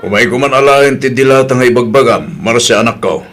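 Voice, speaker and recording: A man's voice: medium pitch, a little fast, a bit bright, close to an everyday voice. A man with an Ilocano accent playing an easygoing rural father-in-law in an audio drama, chatting in a folksy way.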